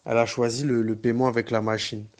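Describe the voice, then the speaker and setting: A man who works as a pizza delivery driver gives a measured personal testimony, full of fillers and restarts. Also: medium pitch, little pitch movement, darkish tone.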